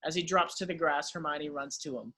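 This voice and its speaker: A man's voice: fairly high pitch, fairly quick, pushed and bright. A man narrating screenplay directions at an amateur table read.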